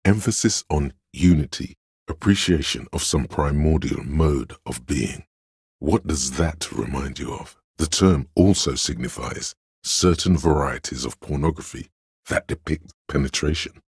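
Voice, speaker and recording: Encyclopedia, an extremely deep, learned inner voice. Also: male voice, a little mellow, no room sound, clean studio sound, exaggerated voice. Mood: informative, deadpan. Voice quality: very deep, smooth, resonant. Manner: measured, erudite, wry, deliberate delivery. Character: Encyclopedia, a learned, detached male inner voice of trivia. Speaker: game skill narrator. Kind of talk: scripted video game narration.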